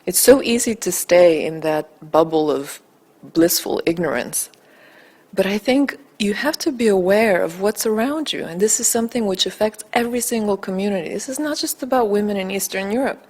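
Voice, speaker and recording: A native Bulgarian woman answering interview questions as a documentary director, earnest, deliberate and emphatic. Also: mid-range voice, animated, a little mellow.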